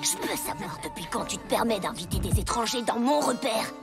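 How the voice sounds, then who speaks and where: A woman's voice: fairly high pitch, animated, fairly quick. A woman voicing a bossy, blustering little boy gang leader in a children's cartoon, indignant and full of himself. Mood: indignant, threatening. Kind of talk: animated children's cartoon dialogue. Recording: music behind the voice.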